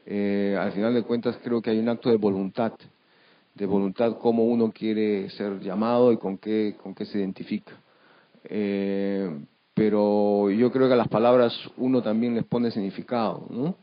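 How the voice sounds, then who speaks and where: A man on a discussion panel, speaking off the cuff in a halting way, searching for words and adding frequent tag questions. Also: fairly low pitch, little pitch movement. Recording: dull, lo-fi event recording.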